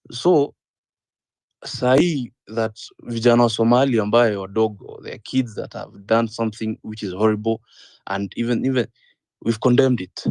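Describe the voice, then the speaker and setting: A man in his thirties with a Kenyan accent, speaking earnestly in a panel discussion, often pausing on "uh" and repeating his points. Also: lowish voice, dry recording. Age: 30s. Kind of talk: impassioned discussion, mixing English and Swahili.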